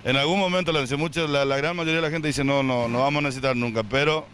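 A man's voice: on the high side, steady tone, fast talker, a bit bright, slight background noise. An older man, a volunteer fire chief, interviewed outdoors and speaking in a rambling way with tag questions and restarts. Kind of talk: on-the-spot news interview. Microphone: handheld reporter mic.

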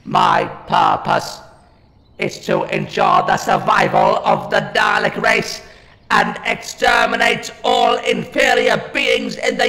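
A man's voice: high-pitched, heavily acted. A man playing a fanatical, anguished alien war machine in a sci-fi audio drama, with a stilted delivery and a metallic, ring-modulated voice. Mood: despairing. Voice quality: harsh, metallic, electronic. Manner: shouted, stilted, declamatory delivery. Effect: robotic ring-modulated voice filter. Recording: long reverb, music underneath.

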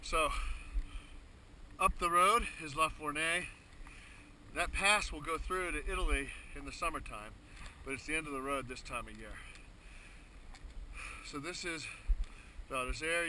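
A man with a slightly high voice talks casually while skiing, pointing out landmarks below like an informal tour guide. He is recorded outdoors on a snowy slope by an action camera. Wind and ski noise run clearly under his voice. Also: a little slow, pauses often, sharp tone, poor audio. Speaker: skier filming a ski run. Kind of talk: casual running commentary. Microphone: helmet or pole-mounted action camera.

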